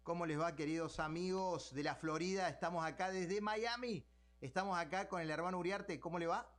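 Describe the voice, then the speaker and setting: A man's voice: high voice, brisk, fairly bright tone. A man conducting a religious interview, speaking somewhat hesitantly and repeating himself.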